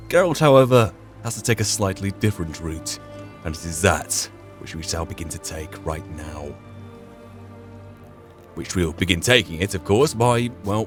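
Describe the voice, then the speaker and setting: A man doing wry, understated let's play commentary, sometimes narrating the game as a role-play. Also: deep voice. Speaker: gaming channel host.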